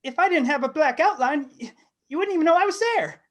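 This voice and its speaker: Somebody doing a sad lil neglected crayon, super high n whiny, storybook comedy, very melodramatic. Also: expressive, a little fast, fairly bright tone. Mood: wounded, plaintive.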